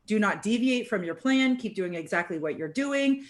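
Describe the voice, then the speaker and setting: A woman hosting a relaxed group conversation. She sounds upbeat and encouraging, talks in a loose, chatty way and keeps checking in with her guests. Her pitch sits in an ordinary middle range. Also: normal speed. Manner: upbeat, encouraging, chatty with many "right?" tags. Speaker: host. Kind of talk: casual group chat.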